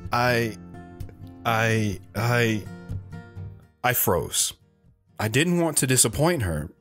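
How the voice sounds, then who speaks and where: Audio drama lead: a hesitant, earnest lone wanderer narrating lost romance, medium pitch, faint music. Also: male voice, expressive, extremely slow, a bit bright. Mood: hesitant, shy. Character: lone wandering man wistfully recounting his romance. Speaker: storytelling guest on a radio show. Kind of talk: dramatic first-person narration. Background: plucked guitar music.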